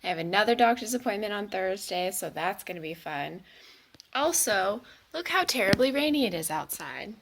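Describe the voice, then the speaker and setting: A woman's voice: mid-range voice, animated, moderate pace, noisy background. A young woman from the Pacific Northwest, chatting casually and candidly to the camera in a vlog, with self-mocking asides. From Washington State, USA. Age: young adult. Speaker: vlogger.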